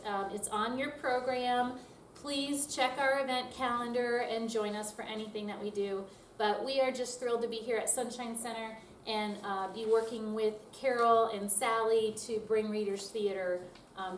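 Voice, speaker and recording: A woman speaks to a live audience as a theater group's representative. Her voice sits a bit high, and the room echoes strongly around it with faint noise. The delivery is an informal introductory speech. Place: indoor room at a senior center.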